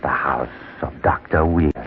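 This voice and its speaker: An actor playing a sinister, courteous doctor who narrates macabre tales, ominous and theatrical, as an old-time radio horror host. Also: male voice, very deep voice, a little slow, phone-quality sound, a little hiss or hum. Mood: eerily courteous. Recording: thin vintage radio with music behind.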